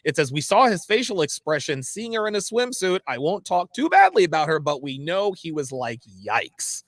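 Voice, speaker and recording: A man's voice: on the high side, very animated, normal speed, dry recording. A man hosting a livestream interview, talking in an opinionated, emphatic way and repeating phrases for stress. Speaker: host of a livestream interview show. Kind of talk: opinionated livestream talk, putting questions to a guest.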